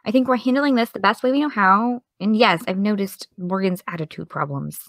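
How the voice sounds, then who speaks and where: A woman's voice: mid-range voice, brisk, no echo, close to an everyday voice. A young actress playing an exasperated, defensive young woman with dry comebacks in an audio drama. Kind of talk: audio drama dialogue of a video call.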